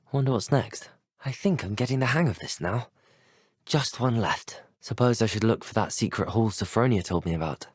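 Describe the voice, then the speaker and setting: Casting: teenage British wizard student hero for a game, courteous and wry, lively intonation, slightly husky, dry close recording. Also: male voice, fairly low pitch, no room sound. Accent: British English. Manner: courteous, well-spoken, gently wry. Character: polite, curious, self-assured teenage wizard student hero. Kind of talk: video game character voice acting.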